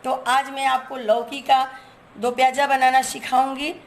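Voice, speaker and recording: Hoarse high-voiced woman cooking at home. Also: flat delivery, measured pace. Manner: chatty, explains each step while showing ingredients. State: hoarse from a cold. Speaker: cooking channel host.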